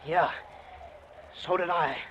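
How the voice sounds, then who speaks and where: A tense, high-pitched, stubborn husband. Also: male voice, steady tone, slow pace, a bit bright. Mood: anxious resolve. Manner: tense, blustering, emphatic. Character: heavily built husband, frightened but stubborn, defiant skeptic. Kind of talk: 1940s radio thriller acting. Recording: muffled, faintly noisy old radio recording.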